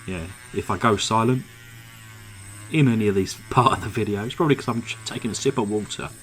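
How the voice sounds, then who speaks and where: A man with a slightly croaky throat narrating his model car restoration at a home workbench, in a relaxed, chatty way full of "uh" fillers. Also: fairly low pitch, brisk, poor audio. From England. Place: home workshop. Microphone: desk microphone.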